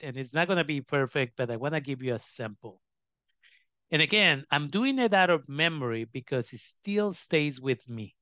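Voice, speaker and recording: A man's voice: a little high, bright, forceful tone, clean tone, no room sound. A man giving an instructional talk as an educator, speaking in an emphatic, direct way to students. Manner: emphatic, direct, checks in with "right" and "okay". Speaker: educator presenting scholarship advice.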